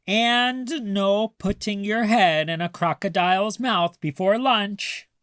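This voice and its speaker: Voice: medium pitch, slow pace, fairly bright tone, smooth, no echo, studio quality, voice pushed up. A reader voicing a playful, teasing grandmother in a children's storybook, with a mock-serious, coaxing manner.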